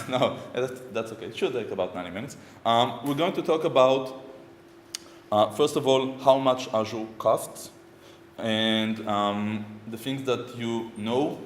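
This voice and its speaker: Israeli man, thirties, lowish voice, chatty tech speaker, far mic in a reverberant conference room. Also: a little hiss or hum. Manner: informal, chatty, frequent ums and uhs. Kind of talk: technical conference talk. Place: conference room with projector screen. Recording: echoey hall from far off.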